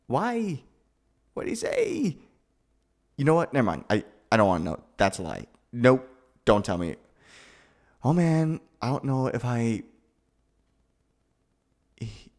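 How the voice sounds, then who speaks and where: A man acting as a nervous, animated young guy. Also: fairly low pitch. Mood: stunned, agitated. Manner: hesitant, stammering, flustered. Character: nervous, awkward, lovestruck young man, sweet and gentle. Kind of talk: ASMR boyfriend roleplay acting.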